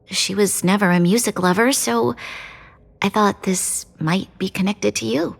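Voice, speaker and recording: A woman's voice: medium pitch, a little slow, vocal fry. An actress playing a gracious, dignified young female ruler in a video game, earnest and considerate, with formal, polite speech. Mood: gentle, careful. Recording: music under the voice.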